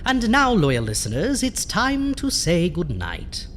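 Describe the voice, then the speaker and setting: An actor playing a campy horror storyteller, theatrical and relishing every word as host of an audio show. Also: male voice, high-pitched, huge pitch swings, a little slow, a bit bright, a little hiss or hum, exaggerated voice. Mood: gleefully macabre.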